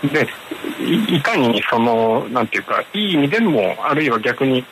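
A man's voice: medium pitch, very animated, fairly quick, a bit bright, low-quality recording. A man from Japan answering interview questions as a publishing professional, hesitant and careful in his phrasing, over a hissy remote link.

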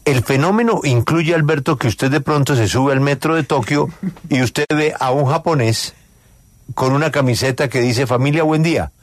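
A man's voice: on the high side, normal speed, dry recording. A man hosting a live radio interview, speaking emphatically and repeating key phrases.

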